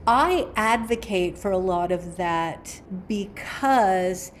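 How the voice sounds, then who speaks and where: A woman with a mid-range voice gives steady, practical health advice as a podcast guest. She speaks in a firm, matter-of-fact way. A faint hiss of noise sits under her voice. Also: mid-range voice, takes it slowly. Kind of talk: giving advice in an interview.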